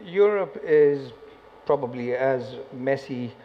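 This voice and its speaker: Man, panelist, steady matter-of-fact delivery, reverberant hall, faint hiss. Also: mid-range voice, takes it slowly. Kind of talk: panel discussion answer. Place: conference hall. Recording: muffled audio in a reverberant hall, faint noise.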